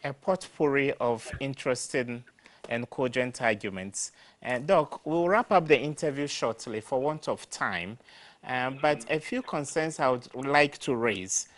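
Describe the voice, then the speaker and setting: A man's voice: mid-range voice, expressive, measured pace, a bit bright. A man hosting a radio interview, asking questions in a formal, articulate way. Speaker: radio host.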